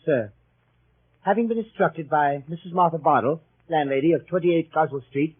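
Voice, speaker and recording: A man's voice: a little high, normal speed, husky. An actor playing a grave man reading out a legal letter precisely, in a muffled vintage radio drama. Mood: formal, grave. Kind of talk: 1930s radio acting. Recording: muffled lo-fi old radio recording.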